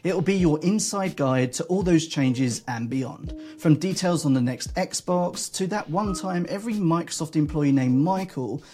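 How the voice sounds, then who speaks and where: A man's voice: expressive, dark tone, soft background music. A man reading a scripted, upbeat promo for a newsletter. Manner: brisk, upbeat pitch. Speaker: senior editor reading a promo.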